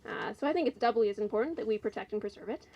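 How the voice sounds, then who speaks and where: A woman in her thirties, a council candidate, giving a measured, polished speech with some fillers into a mic in an outdoor tent. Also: a little high, dull audio. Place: outdoor tent at a community forum. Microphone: mic on a stand.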